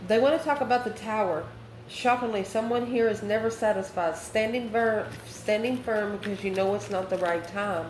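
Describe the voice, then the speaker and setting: Female tarot channel host, mid-pitched voice, off-the-cuff and musing, recorded in a roomy space with faint noise. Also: normal speed. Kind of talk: casual, improvised tarot reading.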